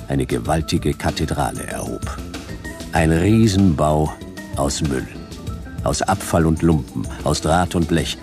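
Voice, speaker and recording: Very deep, gravelly, terse detective. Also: male voice, heavily acted. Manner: terse, deadpan. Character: laconic, weary hard-boiled detective man narrating his adventure. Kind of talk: hard-boiled radio play narration and dialogue. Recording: radio play over loud backing music.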